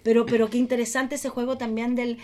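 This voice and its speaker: Fast-talking Chilean woman, casual storyteller. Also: on the high side. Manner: animated storytelling with acted-out quotes. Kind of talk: casual chat.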